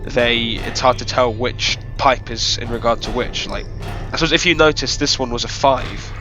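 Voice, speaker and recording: A man at an ordinary middle pitch, his voice nasal and sniffly from a head cold. He rambles through a self-deprecating commentary on a game level he built, full of filler words. Blaring game music plays loudly behind him. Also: normal speed. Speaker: map creator giving developer commentary. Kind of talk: casual gameplay commentary. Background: loud game music.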